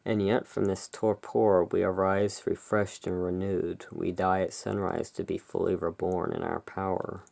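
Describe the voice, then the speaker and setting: Slow, low, creaky gothic ASMR voice. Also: little pitch movement, darkish tone. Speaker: ASMR creator, tarot deck reviewer. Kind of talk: relaxing ASMR roleplay, reading cards aloud and commenting.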